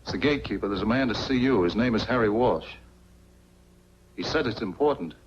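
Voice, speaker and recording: Man acting an earnest, animated small-town lawyer. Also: on the low side, fairly quick, natural voice. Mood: earnest, frustrated. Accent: American. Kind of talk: 1940s film acting. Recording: dull, noisy 1940s film soundtrack with hum.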